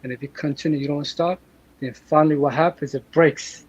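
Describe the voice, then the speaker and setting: A man's voice: fairly high pitch, fairly even intonation, brisk, husky. A man in his thirties from Afghanistan, a guest telling earnest stories over a remote call. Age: 30s. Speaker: podcast guest. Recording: dull remote call audio with faint noise.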